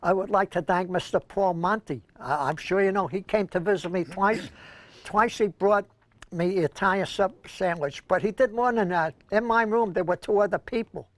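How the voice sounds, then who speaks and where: Older man, Brooklyn accent, high voice, dry close sound, casual rambling storyteller, talk show co-host. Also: normal speed, no room sound. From Brooklyn, New York, USA. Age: older, senior. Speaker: co-host of a senior news show. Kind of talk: casual reminiscing chat.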